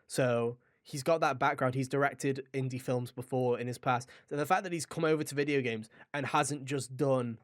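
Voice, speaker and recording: A man's voice: medium pitch, dry recording. Man chatting casually, close dry sound. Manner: enthusiastic, informal, swears. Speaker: podcast co-host. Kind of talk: casual video game podcast chat.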